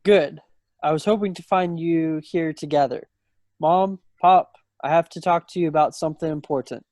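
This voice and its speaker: A man's voice: on the high side, moderate pace, darkish tone, no echo, natural voice. Man playing earnest young soldier, dry sound. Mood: resolute. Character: Sam, earnest young soldier son, stubborn and lovestruck. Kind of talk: amateur play table read. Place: indoors, video call. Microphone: video call.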